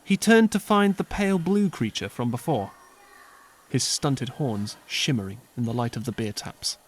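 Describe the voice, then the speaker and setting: A man narrating an audio drama in an expressive, lively storytelling style. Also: medium pitch, normal speed, slight background noise.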